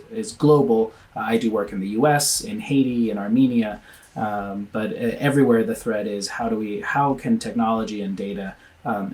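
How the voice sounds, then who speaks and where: A man's voice: on the low side, a little mellow. A man from Venezuela who is a pediatrician, answering interview questions earnestly and thinking aloud, often restarting his sentences. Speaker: pediatrician and guest.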